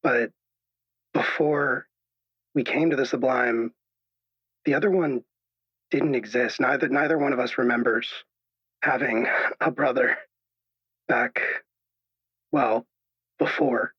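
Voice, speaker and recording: Guy acting a nervous radio caller, stammery and husky, muffled echoey sound. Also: medium pitch, fairly even intonation. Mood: troubled, halting. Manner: hesitant, halting, stammering, apologetic. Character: anxious, troubled male caller unsure of his own reality. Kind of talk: improvised radio drama. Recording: dull lo-fi echoey call sound.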